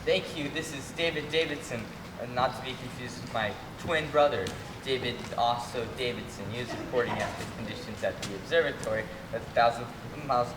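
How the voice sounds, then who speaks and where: A man's voice: medium pitch, a little fast, far from the mic, voice pushed down, lightly acted. A man playing an earnest, dramatic radio field reporter in a comic stage radio play. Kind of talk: mock old radio news bulletin on stage. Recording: very noisy, echoey stage from afar.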